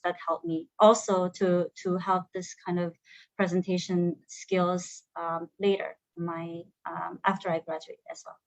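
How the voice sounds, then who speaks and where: A woman's voice: medium pitch, no echo, rough recording. A woman from Korea with a Korean accent, English not her first language, talking about her studies in a hesitant way with many fillers and restarts. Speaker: graduate alumna. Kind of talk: conversational panel interview.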